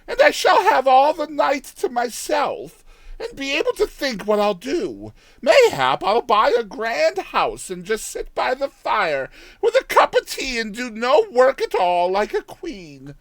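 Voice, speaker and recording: A man's voice: very high voice, no room sound, voice pushed up, full character voice. A man voicing a cheery, ever-optimistic old countrywoman from a storybook, exclaiming in folksy rustic speech.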